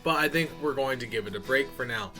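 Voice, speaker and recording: A man's voice: medium pitch, fast, music in the background. A man giving a casual, unscripted update as a channel host, talking informally in a folksy way and addressing viewers as y'all.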